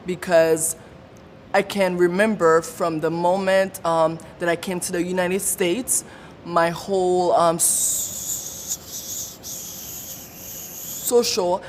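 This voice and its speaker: Woman, lowish voice, unhurried testimony, stutters with blocks and repetitions; reverberant room, faint rumble. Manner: stutters with repeated sounds, blocks and pauses. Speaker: support group member sharing her story. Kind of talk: personal testimony.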